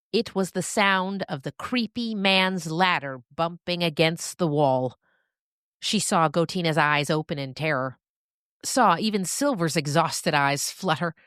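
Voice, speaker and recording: A woman narrating scripted fiction as a storyteller, with expressive, deliberate phrasing and dramatic pauses. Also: deep voice, dry recording, studio quality.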